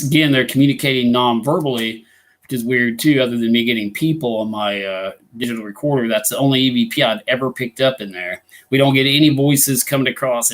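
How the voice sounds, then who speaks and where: A man's voice: medium pitch, rough recording. Rambling man hosting a live show. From Illinois. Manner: rambling, many fillers and restarts. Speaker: ghost hunting group host. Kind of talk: casual live talk show chat.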